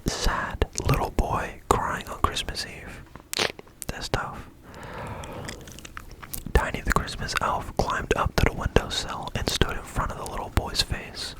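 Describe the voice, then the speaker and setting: A storyteller reading a bedtime story in a soft whisper and adding dry asides. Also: rough recording.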